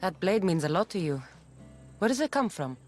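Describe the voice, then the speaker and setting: A woman's voice: fairly low pitch, big pitch swings, a little fast, a little mellow, faint music behind. An actress playing a bold, wry mercenary woman of ancient Greece in a video game, confident and direct. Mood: businesslike. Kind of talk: video game cutscene acting.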